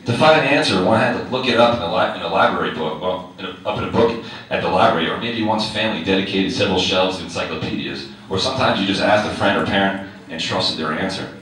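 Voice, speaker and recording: Deep voiced teacher guy reading his essay out loud, wry, chatty, talks right to the crowd, big echoey room heard from far off. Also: fast talker. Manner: wry, conversational, asks the audience direct questions. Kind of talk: reading a personal essay aloud to a live audience. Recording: very echoey hall, distant mic, faint noise.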